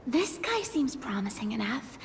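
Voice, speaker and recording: A woman's voice: a little high, big pitch swings, moderate pace, background noise. A woman playing an earnest, curious young adventurer with a questioning manner in a tabletop roleplay.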